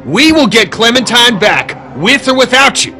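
An actor playing a hard-headed, pragmatic survivor in a zombie video game, blunt and insistent. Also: male voice, extremely high pitch, sing-song, normal speed, sharp tone, soft background music, exaggerated voice. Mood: angry, insistent. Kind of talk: dramatic video game acting.

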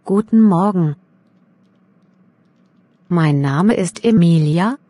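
A woman voicing a young exam candidate in a scripted role-play, speaking in slow, careful sentences. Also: fairly low pitch, mellow, no echo. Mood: earnest. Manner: slow, careful learner's German.

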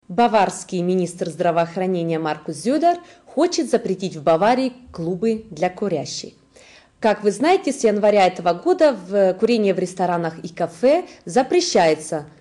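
A woman's voice: medium pitch, warm tone. A woman in her thirties reading scripted news in a formal manner as a presenter in a studio. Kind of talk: scripted newsreading. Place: indoor studio.

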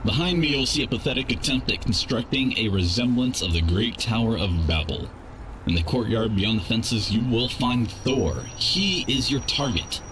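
A man voicing a cold, dismissive robot contract broker with a deadpan manner in a game. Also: medium pitch, normal speed, natural voice. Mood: stern. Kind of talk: animated game cutscene acting. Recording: clear noise behind the voice.

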